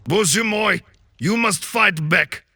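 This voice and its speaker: Casting: pint-sized Russian fairy hustler, bright and gravelly, blunt broken English, fantasy audio drama. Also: male voice, high-pitched, slow, slight background noise, full character voice. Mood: urging. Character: tiny scheming male fairy scavenger, brash and gruff.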